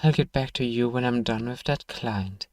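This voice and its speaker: A man playing a gruff, sardonic but grudgingly kind apothecary shopkeeper in an ASMR fantasy roleplay, dryly teasing and sighing as he talks to one listener. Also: mid-range voice, normal speed, dry recording, studio quality, natural voice. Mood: grudgingly caring.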